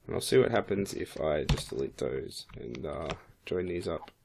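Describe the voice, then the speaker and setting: Croaky low-voiced man talking through a tutorial. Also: animated, moderate pace, background noise. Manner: casual, thinking aloud while working. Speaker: 3D modeling instructor. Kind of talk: screen-recorded software tutorial.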